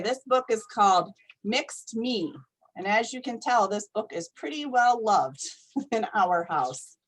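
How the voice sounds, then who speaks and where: Lady doing storytime for little kids, highish voice, really slow n expressive reading. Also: low-quality recording. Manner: slow, deliberate, expressive, asks listeners questions. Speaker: storytime reader for young children. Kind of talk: animated picture-book read-aloud to children.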